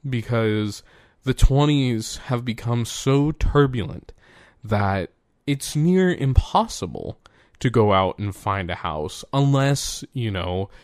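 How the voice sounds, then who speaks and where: A man's voice: medium pitch, expressive, slow pace, darkish tone, dry recording, studio quality. A man in his twenties hosting a solo podcast, rambling casually, swearing freely and laughing at himself. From United States. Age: 20s. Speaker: podcast host.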